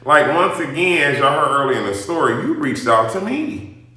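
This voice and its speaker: A man with a bright, forceful voice, pitched a little high, tells a casual story straight to his listeners. He is blunt and emphatic and swears freely. The recording rings with heavy room echo. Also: very animated, normal speed. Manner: emphatic, blunt, profanity-laden, addresses listeners directly. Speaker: storytime host addressing an audience. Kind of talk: casual animated storytelling, rant. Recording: very echoey room.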